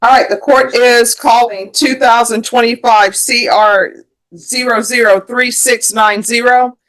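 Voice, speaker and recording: A woman's voice: on the high side, steady tone, a little slow, fairly bright tone, low-quality recording. An American woman speaking as a presiding judge, putting formal, methodical procedural questions in court. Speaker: judge presiding over a plea hearing.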